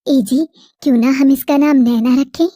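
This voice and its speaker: A doting young village wife from a cartoon story, as a woman puts her on, coaxing and affectionate. Her voice is high and soft. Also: steady tone, moderate pace, no room sound, clean studio sound, soft background music, natural voice.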